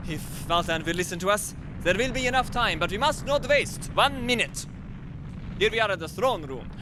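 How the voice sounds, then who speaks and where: A man playing an excitable, defiant scientist, emphatic and theatrical in the manner of a 1930s radio serial. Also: normal speed. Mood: urgent. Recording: clear rumbling background noise.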